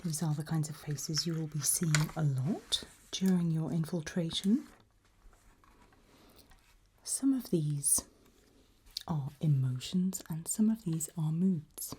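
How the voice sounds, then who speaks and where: A woman doing an ASMR roleplay as an examiner, whispering in a breathy, husky voice with a gentle, reassuring, encouraging manner. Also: deep voice, slow pace, long pauses.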